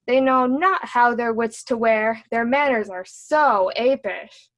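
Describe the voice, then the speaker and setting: A woman's voice: on the high side, takes it slowly. A woman playing a witty, cheeky court jester in a Shakespearean reading, with a mocking, sing-song delivery.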